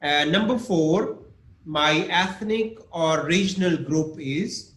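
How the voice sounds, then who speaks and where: A man's voice: a little high, takes it slowly, fairly bright tone. A man teaching an online English lesson, explaining patiently with examples and questions for his students. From Pakistan. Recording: echoey room with faint noise.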